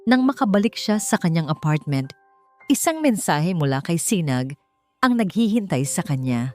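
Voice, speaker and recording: A woman reading a scripted, dramatic suspense love story in short, measured phrases. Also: lowish voice, sing-song, a little mellow. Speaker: narrator of a story channel. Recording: clear music bed behind the voice. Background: background music.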